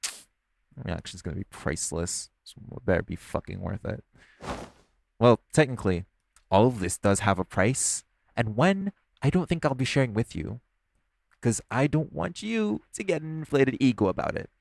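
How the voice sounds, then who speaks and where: A man playing a smug, hungry, flirtatious vampire in an audio roleplay, speaking with teasing theatrical flair in a gravelly voice. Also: mid-range voice, animated, moderate pace, a little hiss or hum. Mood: sulky. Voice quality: gravelly, creaky voice. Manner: teasing, theatrical, swearing, sighing. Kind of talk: audio roleplay monologue to the listener.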